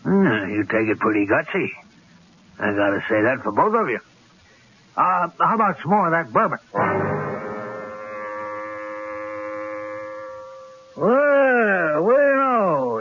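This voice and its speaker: An actor playing a cocky, menacing gangster in a radio drama, with tough, slangy street talk and a rough edge. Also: male voice, sits high, big pitch swings, measured pace, noisy background. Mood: gloating. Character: cocky, menacing gangster killer holding a couple at gunpoint. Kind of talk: 1970s radio drama acting. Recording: dull, lo-fi old radio broadcast.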